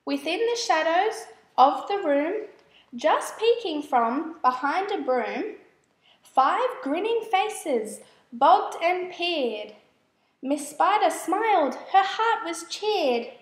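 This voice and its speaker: A woman reading children's verse aloud as a storyteller, in a measured, expressive way. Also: extremely high pitch, roomy sound. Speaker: storybook reader. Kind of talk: scripted children's verse narration.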